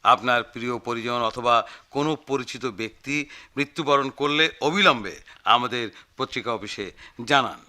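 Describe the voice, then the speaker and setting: An actor playing a fussy, effusive newspaper office manager in a radio drama, with repetitive, hesitant speech. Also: male voice, medium pitch, normal speed, pushed and bright. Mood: grand, persuasive. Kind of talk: Bengali radio drama acting.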